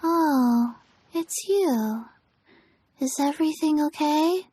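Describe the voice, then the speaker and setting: Little undead girl: high, extremely slow, deadpan and halting, game dialogue. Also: no room sound. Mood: flatly concerned. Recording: music behind the voice.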